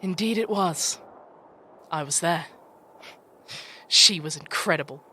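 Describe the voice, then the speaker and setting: A woman's voice: on the low side, slow, pushed and bright, a little hiss or hum. A woman playing an ancient, proud female demon chieftain, fierce and vengeful, speaking with stern formality in a fantasy audio drama. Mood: dignified.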